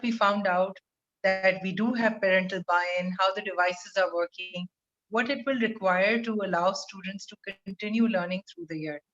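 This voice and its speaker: A woman, explaining her school program in a steady, articulate video call interview from a room at home. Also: mid-range voice. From Pakistan. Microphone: webcam on video call. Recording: muffled video call audio.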